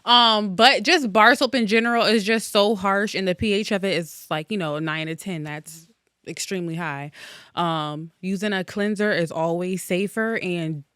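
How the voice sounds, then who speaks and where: A woman's voice: medium pitch, normal speed, fairly bright tone, clean tone, no room sound. Candid woman guest, dry close sound. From Norwalk, Connecticut, USA. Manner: candid, chatty and matter-of-fact. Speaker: guest esthetician. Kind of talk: casual interview chat.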